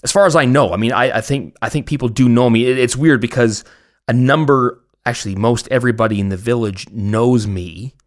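A man's voice: medium pitch, a little fast. A man with a Canadian accent hosting a podcast, chatting in a casual, rambling way with a joking, self-mocking manner. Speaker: podcast host. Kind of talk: casual, rambling personal chat.

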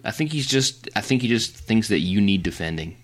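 A man's voice: on the low side, expressive, fairly quick. A man co-hosting a photography podcast, chatting casually and teasing his partner, with lots of fillers and restarts. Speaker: podcast co-host. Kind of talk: casual chat.

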